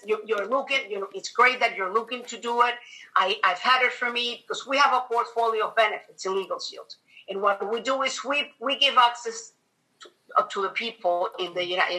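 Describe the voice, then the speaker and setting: A woman's voice: mid-range voice, sharp tone, poor audio. A middle-aged woman with a Venezuelan accent, a native Spanish speaker, chatting emphatically as an interview guest. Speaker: podcast guest. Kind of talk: conversational personal storytelling in an interview.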